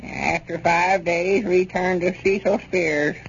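Creaky, high-voiced Southern old grandpa. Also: male voice, steady tone, slow. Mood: curious, puzzled. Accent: rural Southern US. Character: crotchety, folksy old country grandpa. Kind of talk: 1930s radio comedy serial acting. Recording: dull, noisy old 1930s radio recording.

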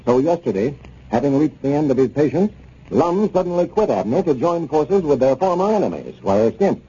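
A man's voice: a little high, very animated, moderate pace, warm tone, breathy edge. A man working as a radio announcer, reading sponsor copy and scene-setting narration in 1930s style. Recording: noisy dull 1930s radio recording.

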